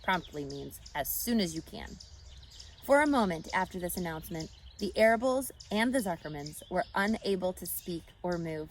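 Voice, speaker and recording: A woman reading a children's story aloud expressively, pausing to explain and ask questions like a teacher. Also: lowish voice, expressive, slight background noise. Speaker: storybook reader.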